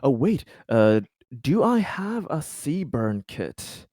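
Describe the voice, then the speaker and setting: A man's voice: on the high side, big pitch swings, slow pace, mellow, no echo, studio quality. A man chatting casually as a player in a tabletop roleplaying game.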